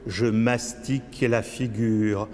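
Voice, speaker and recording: A man performing avant-garde spoken-word poetry in a declamatory way, swinging between low muttered phrases and high, drawn-out cries. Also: steady tone, darkish tone. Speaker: poet performing own work. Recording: long cavernous reverb with background noise.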